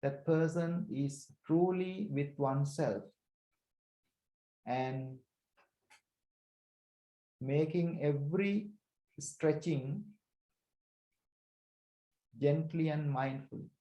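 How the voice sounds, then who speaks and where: Man, meditation teacher, very slow pace, calm short phrases, long pauses, roomy echo. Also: a little high, muffled recording. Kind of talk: guided breathing meditation instruction.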